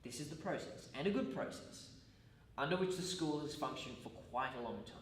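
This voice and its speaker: A man's voice: on the high side, expressive, natural voice. Man acting earnest pedantic teacher, echoey hall. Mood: firm, aggrieved. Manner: deliberate, pedantic, halting phrasing. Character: earnest, self-justifying male college teacher with formal speech. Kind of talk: stage monologue. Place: large echoey room at a college. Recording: echoey hall, distant mic, faint noise.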